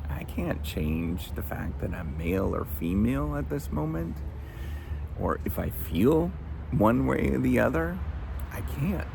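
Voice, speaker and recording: A man speaking informally and earnestly, giving motivational advice in a squawky voice with halting, repeated phrases and pauses. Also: gravelly, noisy background. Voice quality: squeaky, squawky. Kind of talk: informal motivational monologue to viewers.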